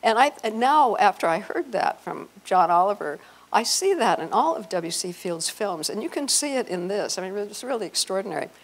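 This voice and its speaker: Creaky-voiced older woman presenting. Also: medium pitch, animated, moderate pace. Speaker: event presenter. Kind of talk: informal talk to an audience. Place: hotel meeting room, indoors. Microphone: handheld microphone.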